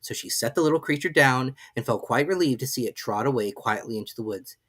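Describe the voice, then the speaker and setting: A reader giving an expressive scripted narration of a children's storybook. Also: medium pitch, fast, slightly rough. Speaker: audiobook reader.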